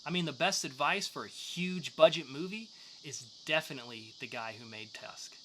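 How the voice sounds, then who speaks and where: A man's voice: fairly high pitch, normal speed, noisy background. A man co-hosting a podcast, talking through news and reviews in a casual, joking way. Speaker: podcast co-host. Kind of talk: news segment and comics review.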